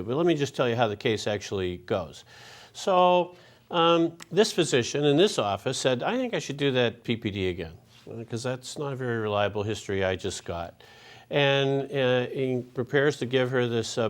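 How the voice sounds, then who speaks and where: A man moderating a medical panel, walking through a patient case slowly with many fillers. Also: medium pitch.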